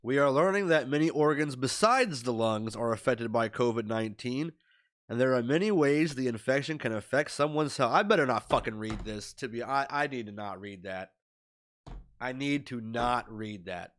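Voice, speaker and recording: A man's voice: mid-range voice, normal speed, fairly bright tone. Sick young man with a stuffy nose. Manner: rambling, worried, with many fillers. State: stuffy-nosed and scratchy-voiced from illness. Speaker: streamer. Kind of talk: casual video diary. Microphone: webcam at desk.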